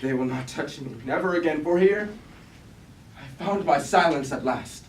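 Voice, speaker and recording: A man's voice: on the high side, moderate pace, close to an everyday voice. A man playing a grave, war-weary robot warrior leader haunted by guilt, in solemn, declamatory Greek tragedy style at a staged reading. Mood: sorrowful, brooding. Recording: echoey room from far off, hiss.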